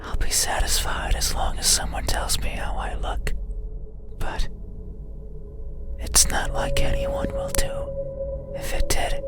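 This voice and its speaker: An actor voicing an eerie, needy creature in a horror visual novel, speaking in a breathy, voiceless whisper with halting, lingering words. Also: male voice, loud music behind the voice, lightly acted. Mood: yearning, unsettling. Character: eerie, needy creature with restless grasping hands.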